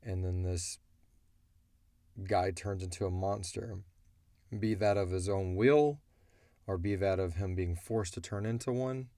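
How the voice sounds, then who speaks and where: A man's voice: deep voice, unhurried, pauses often, dark tone, dry recording, clean studio sound. A man answering listener questions as an audio drama creator, talking casually and bluntly as he thinks aloud.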